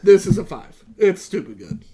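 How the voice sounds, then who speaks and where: A man with a notably high speaking voice chats excitedly about beers. He talks quickly and fills pauses with "uh" and "like." He sounds like a relaxed podcast co-host among friends. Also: a little hiss or hum. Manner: enthusiastic, talks fast with many fillers. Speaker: podcast co-host reviewing beer. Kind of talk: casual chat.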